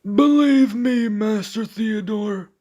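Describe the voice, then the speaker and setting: A man playing a loyal royal servant in an audio roleplay, formal, composed and deferential, with gentle candour. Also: high voice, takes it slowly, dull audio. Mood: wry, reassuring.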